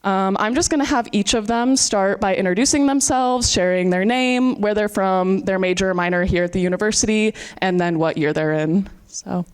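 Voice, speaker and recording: A woman's voice: mid-range voice, normal speed, hardly any pauses, clean tone. A woman in her twenties with an American accent, moderating a panel and introducing it to an audience. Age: 20s. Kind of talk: prepared introduction to a live panel.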